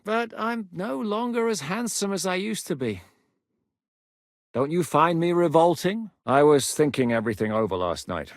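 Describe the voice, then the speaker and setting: A gruff medieval war captain for a game, dry and blunt, really animated pitch, close dry sound. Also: male voice, moderate pace, no room sound. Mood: wry, resolute. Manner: blunt, commanding, sardonic. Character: battle-hardened medieval rebel commander, gruff, dry-humoured, resolute. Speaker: military commander in a video game. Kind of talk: dramatic video game character acting.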